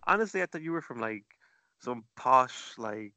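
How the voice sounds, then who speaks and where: A man's voice: medium pitch, animated, normal speed, bright, forceful tone, no echo. A man from the southern United States, co-hosting a podcast in casual chat, rambling as he searches for words. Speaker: podcast co-host.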